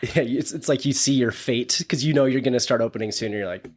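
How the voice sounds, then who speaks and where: A man's voice: mid-range voice, fast talker. A man hosting a local podcast, chatting loosely and rambling through restarts. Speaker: podcast host. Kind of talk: casual interview chat.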